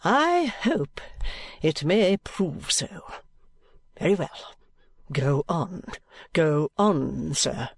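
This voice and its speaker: A man's voice: fairly high pitch, sing-song, creaky, slightly rough, no echo, exaggerated voice. Man putting on a haughty old baronet. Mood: stiffly indignant. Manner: stiff, haughty, slow and deliberate. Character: proud elderly aristocratic baronet, stiff and indignant. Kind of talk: audiobook character reading.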